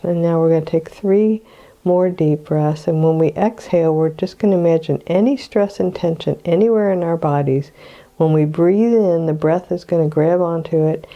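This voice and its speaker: An older woman with a cold, hoarse and clearing her throat, guiding a meditation in a slow, soothing way. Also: on the low side, lo-fi sound. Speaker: meditation guide. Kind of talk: guided meditation.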